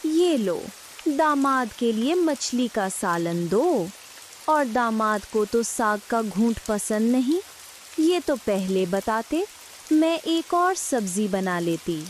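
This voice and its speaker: A woman's voice: on the high side, animated, takes it slowly. A woman voicing a proud, caring village mother-in-law and home cook in a cartoon story. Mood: accommodating. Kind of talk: dramatised cartoon story dialogue. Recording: faint hiss with rain sounds.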